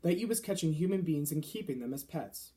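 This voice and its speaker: A man voicing huge, menacing man-eating giants in a children's storybook, with booming shouts and mangled grammar. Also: animated, brisk, close to an everyday voice. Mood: bullying, suspicious.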